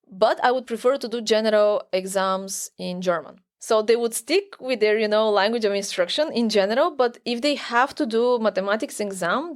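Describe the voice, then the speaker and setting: Woman explaining research. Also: mid-range voice. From Bulgaria. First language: Bulgarian. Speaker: academic researcher, podcast guest. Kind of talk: conversational expert explanation.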